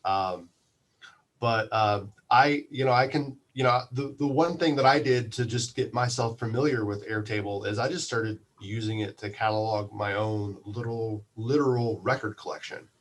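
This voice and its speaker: A man with a fairly low voice chats as a guest on a podcast. He talks discursively and thinks aloud as he goes, in a casual interview style. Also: moderate pace. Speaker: podcast guest, radio station manager.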